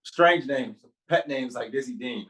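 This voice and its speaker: A performer playing an emphatic straight man from a 1940s radio comedy. He is a matter-of-fact ball club coach who answers briskly and insists on every point. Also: mid-range voice, fairly bright tone, close to an everyday voice.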